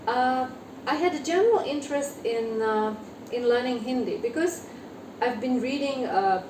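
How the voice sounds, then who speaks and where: A woman's voice: on the high side, normal speed. A woman from Ukraine, a native Ukrainian speaker, answering as a guest author in an interview, hesitant with many fillers. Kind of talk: interview conversation. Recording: echoey room, distant mic, noisy.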